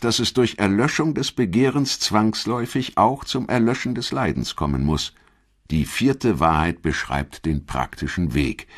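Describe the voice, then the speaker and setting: Male documentary narrator, deep register, animated melody, measured storytelling.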